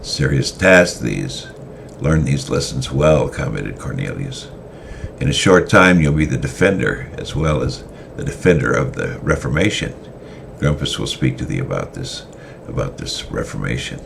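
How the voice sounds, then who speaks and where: A very deep, gravelly male voice reads a story aloud in a halting way and often restarts his sentences. A faint hiss sits behind him. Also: sing-song. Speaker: storyteller reading his own tale. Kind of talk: semi-dramatic reading.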